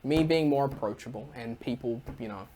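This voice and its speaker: A man's voice: mid-range voice, a little fast, dark tone, slight background noise, poor audio. A young man from New Zealand with a New Zealand accent, telling stories on a casual podcast in a rambling way full of "like". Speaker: podcast co-host. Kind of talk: casual storytelling chat.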